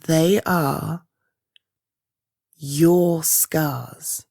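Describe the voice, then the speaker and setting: Casting: actress playing caring British woman for intimate ASMR roleplay; low, mellow, halting, reassuring delivery. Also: creaky, no echo, heavily acted. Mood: gentle reassurance. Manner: hesitant, soft reassuring, halting pauses. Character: caring, reassuring woman comforting a loved one. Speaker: voice roleplay performer. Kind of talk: ASMR roleplay, one-sided intimate conversation.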